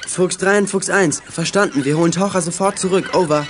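A male actor playing a level-headed boy detective in a children's radio play, speaking in crisp radio procedure. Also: high-pitched, a little fast, music in the background, natural voice. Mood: businesslike.

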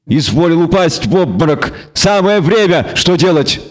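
A man's voice: on the high side, animated, moderate pace, pitched above the performer's own voice, close to an everyday voice. A man voicing an indignant, defiant horned goat devil with a bleating voice, in a fantasy audiobook. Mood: anxious.

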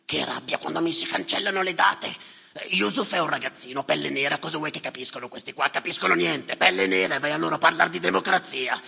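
A man playing a bitter, callous clerk in a theatrical monologue, muttering scornfully in a gravelly, raspy voice with long reverb around him. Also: fast, sharp tone, heavily acted. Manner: muttering, scornful, erratic swings from low grumbles to outbursts. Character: bitter, callous, muttering male clerk tallying the drowned by number.

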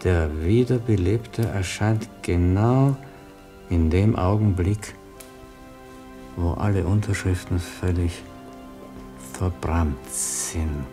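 A calm rabbi and miracle worker speaks low and deep, courteously giving instructions. He stays wry and unruffled, in a radio drama with music behind him. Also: slow pace, long pauses. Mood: calm, instructing. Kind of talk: German radio play acting.

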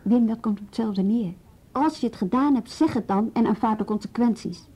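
A woman's voice: a little high, dark tone, husky. A woman acting in a radio drama as a frank, level-headed policewoman, speaking carefully and matter-of-factly. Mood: firm, level. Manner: careful, deliberate, matter-of-fact. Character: policewoman, level-headed, frank, guarded adviser to a young suspect. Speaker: police colleague. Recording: dull radio play recording.

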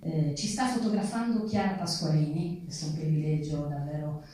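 A woman hosting from a theatre stage, addressing an audience in measured, articulate, formal phrases. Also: low-pitched, fairly even intonation, dark tone. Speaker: event host. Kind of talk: spoken introduction and thanks to an audience. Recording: very echoey theatre hall, distant mic.

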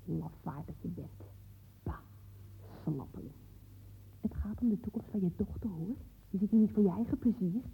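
A woman's voice: medium pitch, moderate pace, creaky, pauses often, breathy edge. A woman playing a bossy, scornful mother in a radio play, nagging sarcastically in colloquial speech. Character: bossy, nagging, scornful mother waiting at her daughter's school. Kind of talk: naturalistic radio play dialogue. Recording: dull, muffled old radio play recording.

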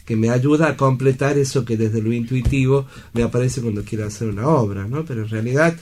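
A man's voice: medium pitch, a little fast, darkish tone, a little hiss or hum. A man with an Argentine accent and a mid-range voice talks in a casual interview. He sounds earnest and reflective, like a director explaining his craft, and often checks for agreement. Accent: Argentine Spanish. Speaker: guest, theatre director. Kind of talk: casual studio conversation.

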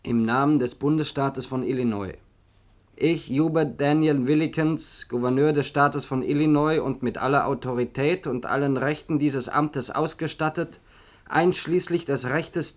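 An actor playing a self-important official in a German radio play, with a formal, declamatory delivery. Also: male voice, on the high side, natural voice.

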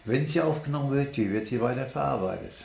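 A man explaining science off the cuff, halting and deliberate, as if thinking aloud. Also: medium pitch, creaky, darkish tone. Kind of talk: unscripted explanatory monologue. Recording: echoey room with background noise.

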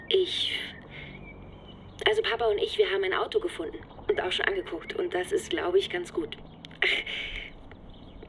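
An actress in a radio play voicing a young woman leaving an affectionate, halting and evasive message for her partner. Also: on the low side, a little hiss or hum. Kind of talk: naturalistic radio drama acting.

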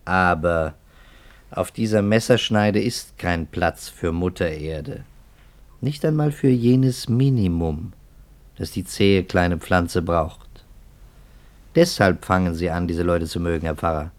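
An actor playing a calm, probing investigator in a 1950s radio drama, measured and quietly challenging. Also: male voice, low-pitched.

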